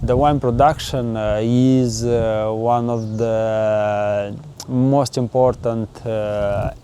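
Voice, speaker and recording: Man, Romanian accent, non-native English, hesitant, many fillers, warm relaxed tone, light background noise. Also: medium pitch, extremely slow. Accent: Moldovan Romanian. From Moldova. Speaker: winery export sales manager. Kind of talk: interview answers.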